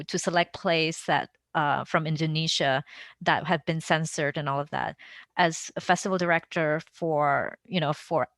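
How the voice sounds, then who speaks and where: A woman's voice: deep voice, fairly bright tone, no room sound. A woman hosting a conversational interview, tentatively rephrasing her questions as she goes. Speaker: interviewer, podcast host.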